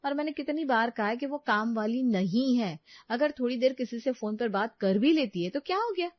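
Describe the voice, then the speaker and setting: Woman as an outspoken young daughter-in-law, lifted pitch. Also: animated, normal speed, no room sound, lo-fi sound, close to an everyday voice. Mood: annoyed, sarcastic.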